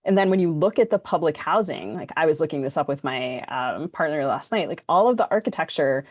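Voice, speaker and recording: A woman's voice: low voice, animated, brisk, dry recording, clean studio sound. An American woman co-hosting a podcast, talking informally and discursively as she thinks aloud. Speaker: podcast co-host. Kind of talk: casual panel discussion.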